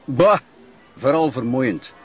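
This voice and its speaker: An easygoing Flemish tradesman in a TV drama, obliging and a bit tired. His voice is husky and folksy. The recording is slightly dull. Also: medium pitch, animated, slow pace, soft background music. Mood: friendly, tired. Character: male locksmith, tired young father, obliging. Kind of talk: naturalistic TV crime drama acting. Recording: muffled sound.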